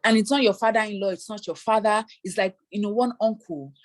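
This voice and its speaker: Woman consultant, mid pitch, explanatory, mimics applicants' lines. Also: fairly quick. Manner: explanatory, voices hypothetical applicants. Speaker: immigration consultant. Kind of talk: explaining advice in an interview.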